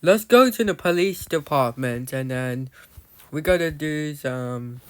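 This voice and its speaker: A man's voice: fairly high pitch, expressive, a little slow, clear, resonant tone. A man giving casual, rambling commentary on his game, often losing his thread and calling out to viewers as "guys". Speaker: gamer narrating his play.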